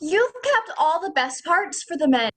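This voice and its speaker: A woman's voice: high voice, big pitch swings, unhurried, a bit bright, full character voice. A teenage girl playing an indignant, stubborn diva actress in a stage comedy, arguing in a declamatory way over a video call. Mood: indignant, grand. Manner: declamatory, argumentative.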